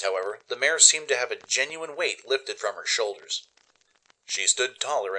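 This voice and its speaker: A man's voice: on the low side, pushed and bright, poor audio. A man giving dramatic first-person scripted narration as the narrator of a radio play.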